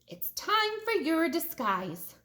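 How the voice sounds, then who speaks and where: A woman's voice: very high-pitched, very animated, slow, bright, forceful tone, pitched above the performer's own voice, exaggerated voice. A woman voicing a businesslike, easily worried male museum director in a children's storybook. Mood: brisk.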